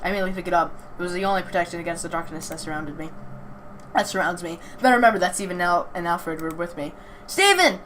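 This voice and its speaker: A woman with a slightly low voice reads visual novel text aloud for a let's play. She trips over words, repeats phrases and drops in casual remarks, with light noise on the recording. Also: very fast. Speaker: video host playing a visual novel.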